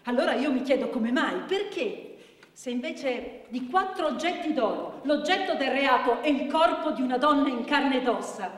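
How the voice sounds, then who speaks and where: An impassioned woman lawyer in a radio drama, pleading with emphatic, rhetorical indignation. Her voice sits on the high side. It carries through an echoey hall, some way from the microphone. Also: lively intonation, moderate pace, recorded from a distance. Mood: outraged. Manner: emphatic, rhetorical, pointed repetitions. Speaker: stage actor. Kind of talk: theatrical courtroom oration and dramatic recitation.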